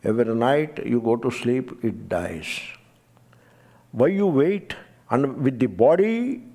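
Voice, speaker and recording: Male teacher, gravelly, mid-pitched, measured and emphatic, chants verses. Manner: measured, emphatic, drops to quiet asides; chants scripture verses slowly. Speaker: spiritual teacher. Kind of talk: spiritual discourse.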